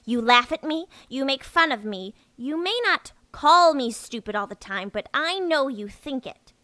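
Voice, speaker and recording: Female mole laborer, played by an actress, earnest and defensive, high forceful voice, audio drama. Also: expressive, a little slow, no echo, full character voice. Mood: indignant. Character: earnest, gullible, indignant young female moleperson worker.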